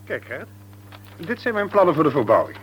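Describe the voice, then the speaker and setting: An actor playing an enthusiastic, self-assured business owner in a Dutch radio drama. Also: male voice, fairly high pitch, very animated, a little fast, fairly bright tone, slight background noise, poor audio. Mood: enthusiastic, proud.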